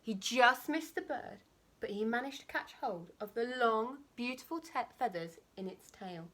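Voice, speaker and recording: Bright-voiced woman reading a children's story. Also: a little high, lively intonation, unhurried. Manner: emphatic, dramatic phrasing. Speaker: primary school teacher reading aloud. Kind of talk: expressive children's storybook narration.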